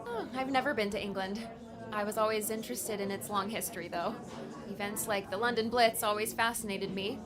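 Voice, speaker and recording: A woman's voice: mid-range voice, a little fast, over music, close to an everyday voice. A woman playing an earnest, slightly awkward office worker with a polite, hesitant manner in an audiobook. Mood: curious, polite. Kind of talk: audiobook character dialogue.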